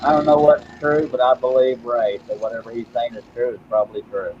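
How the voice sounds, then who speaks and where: A man's voice: medium pitch, little pitch movement, a little fast, dull audio, noisy background. A man hosting a woodworking live stream, chatting casually as he works, rambling and self-deprecating, often repeating his words. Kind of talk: casual live-stream chat while working.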